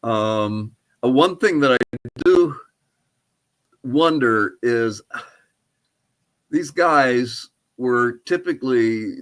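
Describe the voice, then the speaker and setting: An older man answering interview questions as a historian, speaking in a halting, thoughtful, tentative way. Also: medium pitch, lively intonation, a bit bright. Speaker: archaeologist and historian guest. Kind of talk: interview answers about research.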